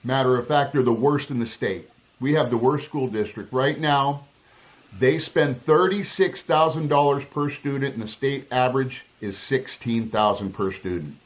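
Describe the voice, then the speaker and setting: A man's voice: mid-range voice, moderate pace. Political candidate, male, from Southern California; emphatic, blunt delivery, restarts mid-sentence, slightly dull recording. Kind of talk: interview answers.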